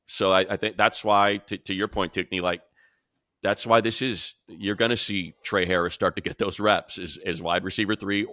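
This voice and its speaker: A man talks sports as a show commentator in a low voice with a bright, forceful edge. He leans on points emphatically and repeats himself for stress, in a dry, close sound. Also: steady tone, normal speed, dry recording. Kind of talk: sports talk discussion.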